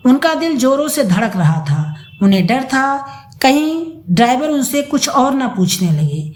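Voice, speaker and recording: A woman narrating a scripted audio story in a dramatic, deliberate storytelling manner. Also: on the high side, a little mellow.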